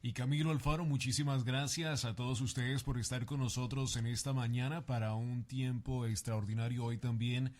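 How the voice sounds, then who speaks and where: A man's voice: medium pitch, flat delivery, normal speed, hardly any pauses, a little mellow. A man co-hosting a radio program, courteous and formal, with hesitant fillers. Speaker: co-host.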